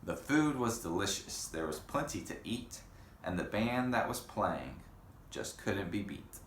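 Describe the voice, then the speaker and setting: A man reading a children's picture book aloud in an unhurried way, pausing for casual comments and questions. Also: on the low side, lively intonation. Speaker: storybook reader. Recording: roomy echo with faint background noise.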